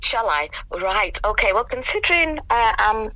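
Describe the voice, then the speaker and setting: Chatty, slightly hoarse middle-aged British woman. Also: on the high side, sing-song, moderate pace, bright, forceful tone, dry recording, low-quality recording. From Britain. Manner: chatty, enthusiastic, rambling. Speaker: radio guest, amateur actress. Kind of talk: casual radio interview chat.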